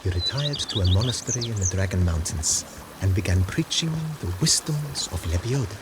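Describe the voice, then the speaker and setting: Formal, lilting estate majordomo. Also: male voice, sits low, expressive, brisk, background noise. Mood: storytelling, wistful. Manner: formal, precise, politely ornate. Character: courteous, formal, proud hereditary majordomo of a vineyard estate. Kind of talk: scripted game dialogue, giving a guided tour. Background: music.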